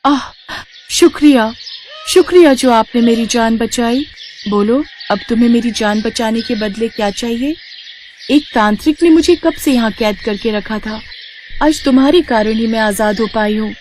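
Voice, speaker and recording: A woman voicing a grateful, gentle fairy in an animated moral story, speaking earnestly and graciously. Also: on the high side, moderate pace, slight background noise, voice pushed up, close to an everyday voice. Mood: grateful relief. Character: grateful gentle female fairy freed from a bottle.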